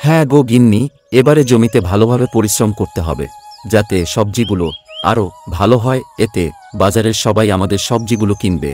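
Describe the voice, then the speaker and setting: A man voices a poor village farmer in a cartoon, with a lowish pitch. He is an earnest, good-natured family man, heard over music. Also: a little fast, darkish tone, natural voice. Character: hardworking poor vegetable farmer, doting husband and father. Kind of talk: Bengali cartoon voice acting.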